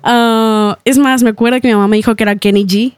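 A woman's voice: on the high side, fairly even intonation, a little fast, a little mellow, clean tone, no echo, studio quality. A young woman from Ecuador who grew up in Spain, talking casually and chattily as a guest in a radio interview. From born in Ecuador, grew up in Spain from age eight. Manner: chatty, giggles often while talking. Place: radio studio, dry close sound.

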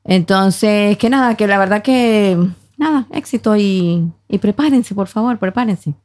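A woman's voice: medium pitch, unhurried, a little mellow. A woman chatting casually and conversationally in a radio studio. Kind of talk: casual chat.

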